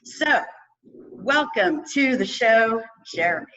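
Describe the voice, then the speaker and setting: Energetic woman podcast host. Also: on the high side, slow, lo-fi sound. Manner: energetic, chatty, laughs often. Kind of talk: upbeat interview podcast hosting.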